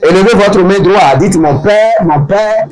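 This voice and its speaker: A man leading a deliverance prayer service, preaching slowly and emphatically and chanting long runs of speaking in tongues. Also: sits high, a little mellow.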